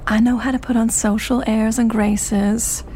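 An actress playing a breezy, brassy socialite confidante in a period radio drama, slangy and drawling. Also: female voice, a little high, level tone, a little slow, darkish tone, slight background noise, lightly acted. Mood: tipsy, defensive.

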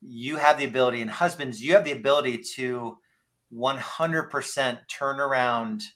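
A man around fifty hosting a casual podcast interview, speaking in an earnest, rambling and halting way. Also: mid-range voice, fairly bright tone. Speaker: podcast host.

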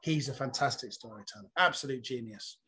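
A man's voice: medium pitch, fairly quick, pushed and bright, slightly rough. A man, a comedian being interviewed, talking in a reflective, rambling storytelling way. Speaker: comedian guest. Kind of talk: casual interview chat.

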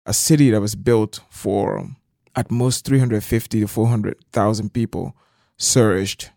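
Man, fairly low pitch, dry close sound, conversational interview guest. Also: steady tone, unhurried, vocal fry, warm tone, dry recording. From southeastern Liberia. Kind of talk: conversational interview, explaining history.

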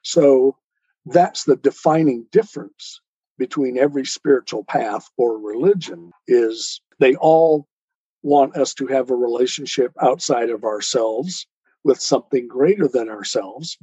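A man giving explanations as an interview guest, deliberate, declarative and didactic in manner. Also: medium pitch, dark tone, husky, no room sound, muffled recording.

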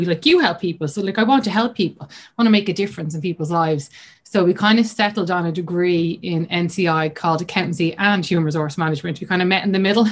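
A woman in her thirties with a Dublin accent, chatting casually as an interview guest and acting out remembered conversations. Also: mid-range voice. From Ireland. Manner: chatty, many fillers, acts out quoted dialogue. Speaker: guest coach.

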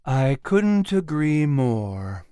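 A man's voice: medium pitch, big pitch swings, extremely slow, mellow, smooth, no room sound, studio quality. A man voicing a friendly, well-informed woman talking to her animal friends in a storybook. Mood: agreeable.

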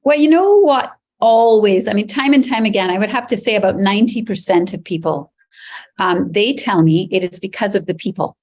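A woman in her forties speaks on a video call from a beachfront apartment. She talks in a loose, chatty way and fills her pauses with ums. Her pitch sits in an ordinary middle range for a woman. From Barbados. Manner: chatty, warm enthusiasm with frequent ums. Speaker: real estate agent. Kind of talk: casual interview answers.

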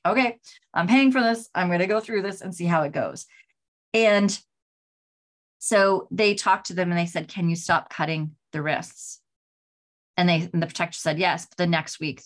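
A woman in her forties hosting a podcast, talking candidly in a rambling way, with many restarts and a pace that swings between slow and hurried. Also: fairly low pitch. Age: 40s. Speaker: podcast host. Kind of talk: casual solo podcast talk.

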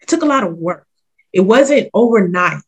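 A woman in her forties, a ministry leader talking candidly in an interview, warm-hearted and lively, calling people honey. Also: medium pitch, moderate pace, breathy edge. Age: 40s. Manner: lively, talks straight from the heart, calls listener honey. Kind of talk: casual interview chat.

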